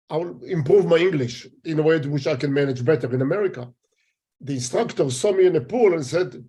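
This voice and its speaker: Older israeli man as interview guest, warm slightly high voice, halting speech. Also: husky. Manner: halting, searching for words in English. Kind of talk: interview conversation.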